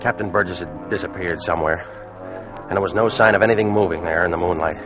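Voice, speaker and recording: Actor as doomed american sailor, low grave voice, old muffled radio play w music. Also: male voice, lightly acted. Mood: haunted, tense. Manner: grave, haunted, measured storytelling. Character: weakened, fearful American sailor recording his doom. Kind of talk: first-person radio drama narration. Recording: muffled vintage radio recording, music behind. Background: dramatic music.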